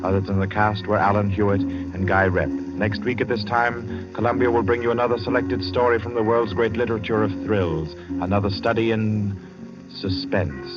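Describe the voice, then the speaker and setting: Low-voiced vintage radio announcer, man. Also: steady tone, moderate pace. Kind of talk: formal, dramatic 1940s radio announcing. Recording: dull vintage 1940s radio over loud music.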